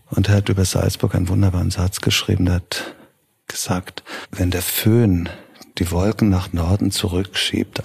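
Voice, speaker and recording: A middle-aged man, raised in Italy and Germany, answering interview questions thoughtfully as a festival director, pausing to search for words. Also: sits low, vocal fry, a little mellow, breathy edge.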